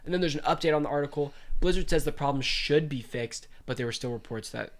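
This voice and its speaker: A man's voice: a little high, fast talker. A young man with a congested voice, hosting a casual podcast and reading gaming news aloud. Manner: animated, reads news aloud. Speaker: podcast host.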